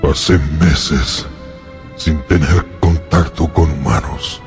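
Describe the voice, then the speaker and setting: Slow, deep, lonely monster. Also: male voice, faint music behind, heavily acted. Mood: sorrowful, brooding. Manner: slow, halting, brooding. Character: lonely, sorrowful male monster telling his story. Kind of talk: dramatised audiobook narration, radio drama.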